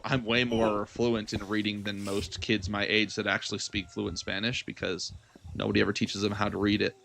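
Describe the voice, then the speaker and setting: A man's voice: fairly low pitch, creaky, bright, forceful tone, a little hiss or hum. A young man chatting casually as he hosts a gaming livestream, rambling and thinking aloud as he answers his viewers. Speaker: livestream gamer host.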